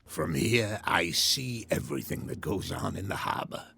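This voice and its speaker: An actor playing a proud, courteous harbor captain in a video game, with a raspy, gravelly voice and stately phrasing. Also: male voice, low voice, animated, moderate pace, bright, forceful tone, no echo, full character voice. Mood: assured. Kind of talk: video game cutscene dialogue.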